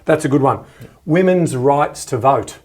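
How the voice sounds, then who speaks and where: A man's voice: medium pitch, a little slow, a little mellow. Enthusiastic, chatty Australian man. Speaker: podcast co-host. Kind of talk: casual chat.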